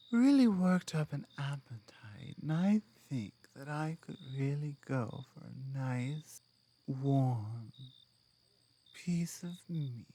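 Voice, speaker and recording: Female orc companion, played by a woman: proud, blunt laborer, unhurried, halting, earnest delivery for an intimate ASMR audio drama. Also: low-pitched, mellow, no room sound, full character voice. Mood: flirtatious, sultry. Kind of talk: ASMR roleplay monologue.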